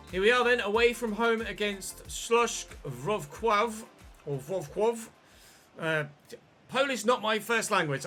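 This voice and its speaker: A man with a high, very bright and forceful voice, talking through his football game like a casual commentator. He chats along easily, then bursts into shouts when something big happens. Soft game music hums behind him. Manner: chatty, bursts into shouted excitement at goals. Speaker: gaming channel host.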